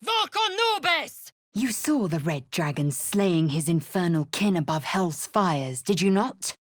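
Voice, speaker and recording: A woman playing a proud, fierce githyanki warrior in a video game, with a husky, raspy voice and a clipped, formal, commanding way of talking. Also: lowish voice, very animated, slow pace, no room sound, studio quality, exaggerated voice. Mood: fierce, shouting. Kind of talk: video game character voice lines.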